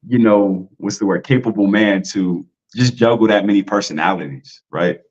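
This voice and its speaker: Low-voiced man, muffled audio. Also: moderate pace, a little mellow. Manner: loose, slangy, heavy on "like" and "you know". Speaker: podcast guest. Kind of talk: casual podcast discussion.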